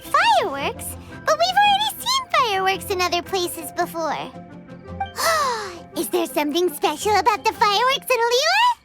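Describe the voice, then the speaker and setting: A woman voicing a tiny, excitable girl fairy companion in a video game, with a high, squeaky, bouncy voice and eager questions. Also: unhurried, over loud music, full character voice. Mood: curious.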